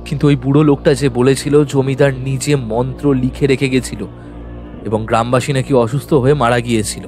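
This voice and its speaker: A man's voice: medium pitch, steady tone, fairly quick, darkish tone, close to an everyday voice. Man acting urgent, frightened young man over music. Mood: anxious warning. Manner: urgent, pleading, repetitive. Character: terrified young man in a haunted mansion. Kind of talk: audio horror story acting.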